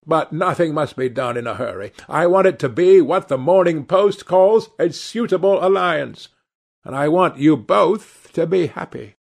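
A man's voice: a little high, expressive, normal speed, gravelly, voice pushed up. A man voicing a chatty older society hostess in a storybook reading, theatrical and exclamatory. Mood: chiding.

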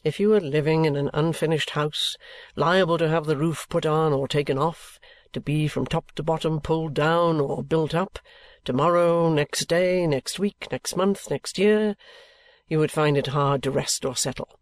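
A man playing an affectionate but bitter, evasive young gentleman, earnest and weary, in acted audiobook dialogue from a classic novel. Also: on the high side, moderate pace, no room sound, close to an everyday voice. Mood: restless, resigned.

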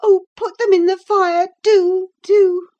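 A woman's voice: extremely high pitch, level tone, slow, a little mellow, highs cut off, voice pushed up. A woman voicing a distraught, passionate teenage girl in an audiobook, pleading in a breathless, tearful way. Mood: desperate pleading. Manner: breathless, sobbing, imploring. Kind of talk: audiobook character acting.

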